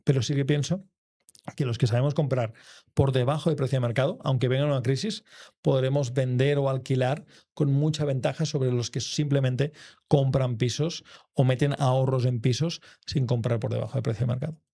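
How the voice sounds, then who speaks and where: A man, a guest real estate investor, speaking in a measured, explanatory way in an interview. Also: mid-range voice, fairly even intonation, gravelly, dark tone. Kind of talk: conversational interview.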